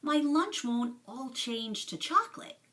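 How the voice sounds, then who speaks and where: A woman's voice: high-pitched, expressive, unhurried, fairly bright tone, pitched above the performer's own voice. A woman voicing a worried, plaintive schoolboy in a storybook reading, recorded a little away from the microphone. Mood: muttering, doubtful. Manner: anxious, plaintive. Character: worried schoolboy, muttering and fretting about his food.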